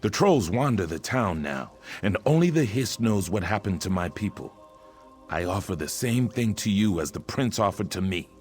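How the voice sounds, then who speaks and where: A proud lizard-folk warrior from a fantasy game, with a low-pitched voice. He speaks solemnly and deliberately, carrying an old grievance, over faint music. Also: big pitch swings, a little mellow. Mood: bitter, proud. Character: proud, aggrieved male reptilian warrior from a swamp people. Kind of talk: video game character dialogue. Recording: music behind the voice.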